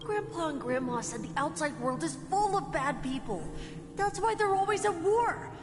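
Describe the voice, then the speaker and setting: A woman's voice: very high-pitched, expressive, moderate pace. A woman voicing an innocent, earnest little boy from a village in a video game. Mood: earnest, reluctant. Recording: long reverb, game music behind.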